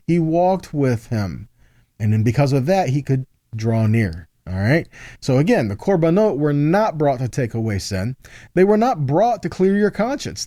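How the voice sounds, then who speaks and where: A man's voice: a little high, warm tone, no echo, clean studio sound. A man giving a sermon-style Bible lesson, reading scripture aloud and explaining it, repeating key phrases for emphasis and checking in with short tag questions. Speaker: Bible teacher.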